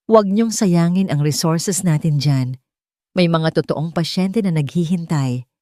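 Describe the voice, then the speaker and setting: A woman's voice: lowish voice, measured pace, warm tone, dry recording, studio quality, lightly acted. A woman voicing a cold, contemptuous female hospital supervisor who barks orders with scorn. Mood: scornful. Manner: scornful, commanding. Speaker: nurse supervisor.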